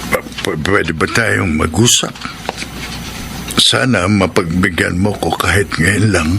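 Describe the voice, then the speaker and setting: An actor playing an elderly, remorseful Filipino grandfather in a radio drama, speaking in a halting, pleading, emotional way. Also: deep voice, vocal fry, noisy background, heavily acted. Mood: meek, pleading. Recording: dramatic music under the voice.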